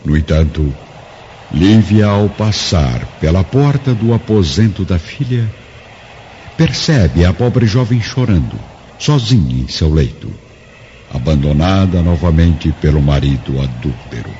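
A man with a Brazilian accent giving solemn, expressive scripted narration for a radio drama. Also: low-pitched, a little slow, slight background noise. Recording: dull lo-fi with faint music.

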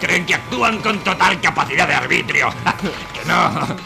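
An actor playing a gloating, contemptuous advertising villain in a satirical radio play, with a gravelly, sneering, drawn-out delivery. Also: male voice, on the high side, animated, over music. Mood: mocking, amused. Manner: sneering, drawn-out, punctuated by cackles. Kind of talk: satirical radio drama acting.

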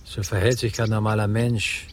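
A man's voice: on the low side, level tone, moderate pace, slight background noise, lightly acted. An actor playing an irritated, suspicious man in a radio crime drama, curt and accusing. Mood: suspicious, stern.

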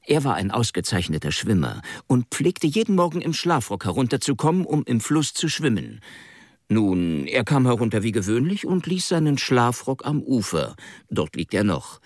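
Radio-play young gentleman: medium pitch, very lively melody, earnest, muddled explainer. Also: normal speed, no echo, studio quality. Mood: earnest, explaining. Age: young adult. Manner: rambling, hesitant, earnest. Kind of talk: German radio drama dialogue.

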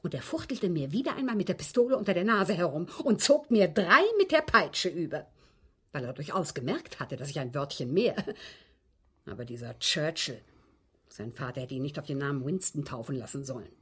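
An elderly, articulate widow in a radio play. Her voice is low and husky, swinging widely in pitch. She speaks formally and precisely, coolly confessing with haughty, dry scorn. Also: sing-song, a little fast, heavily acted. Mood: emphatic, scornful. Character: proud, articulate old English widow, coolly confessing to police. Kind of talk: radio drama acting.

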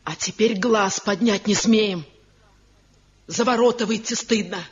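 A woman's voice: mid-range voice, unhurried, natural voice. A woman playing a bitter, reproachful older village mother-in-law in a 1970 radio drama, on a muffled old recording. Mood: accusing, aggrieved. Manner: reproachful, nagging.

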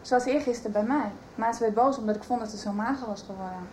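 Actress as a direct, high-voiced young woman. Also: fast talker, dark tone, slight background noise, natural voice, poor audio. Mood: polite, concerned. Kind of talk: 1990s Dutch radio soap acting.

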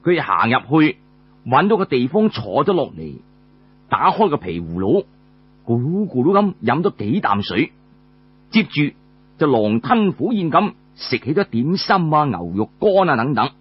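A man with a Cantonese accent telling a story in the traditional oral style, colloquially, with drawn-out particles between phrases. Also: medium pitch, very animated, slightly rough, dull audio.

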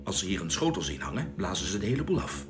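A man's voice: low voice, sing-song, fast. A man playing an anxious, commanding spaceship captain in a science-fiction radio drama. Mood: tense, practical. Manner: urgent, commanding.

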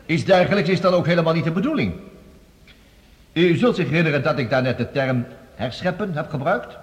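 A man's voice: on the high side, expressive, fairly quick, close to an everyday voice. An actor playing an assured, persuasive male scientist, explaining formally and emphatically in a science fiction radio play. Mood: assured, emphatic. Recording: reverberant hall, radio drama production.

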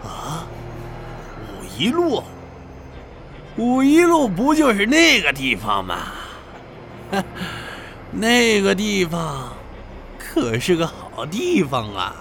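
Creepy thug guy played by a man in audio drama, slow teasing drawl, pitch all over the place. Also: huge pitch swings, vocal fry, fairly bright tone, a little hiss or hum, full character voice. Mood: sly amusement. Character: leering, menacing male thug harassing a young woman.

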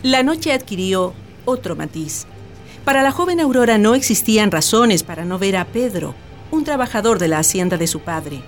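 A woman's voice: mid-range voice, animated, normal speed, faint music behind. A woman reading scripted narration for a radio drama as its storyteller.

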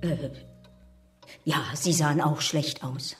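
A woman's voice: deep voice, steady tone, slow, over music. An actress playing a timid, agreeable elderly spinster in a radio play, hesitant and parroting what she hears. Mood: flustered, obliging.

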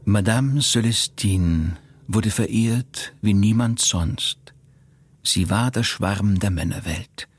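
An actor playing a deadpan, deliberate storyteller of absurd, grotesque tales in a radio play. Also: male voice, on the low side, slight background noise. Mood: deadpan, wistful. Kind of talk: literary radio play narration.

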